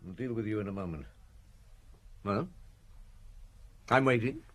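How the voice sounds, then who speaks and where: An actor playing a shrewd, authoritative chief inspector with a husky voice in a radio murder mystery. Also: male voice, lowish voice, unhurried. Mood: brisk interrogation. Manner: probing, authoritative, wry. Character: shrewd, commanding male police chief inspector.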